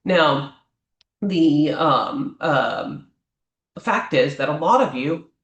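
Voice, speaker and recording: Man, immigration lawyer, slightly high voice, chatty advice, lots of "right?", roomy echo. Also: animated, slow pace, fairly bright tone, highs cut off. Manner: talks off the cuff, ends many points with a questioning "right?"; frequent "um" fillers and restarts. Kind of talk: explanatory advice talk to clients.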